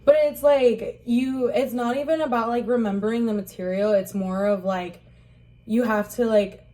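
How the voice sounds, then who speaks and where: A woman's voice: a little high, fairly even intonation, moderate pace, warm tone. A young woman telling her life story in an interview, hesitant and full of "like" and "um". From Rockford, Illinois, USA. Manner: hesitant, many fillers like "like" and "um". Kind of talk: casual spoken recollection.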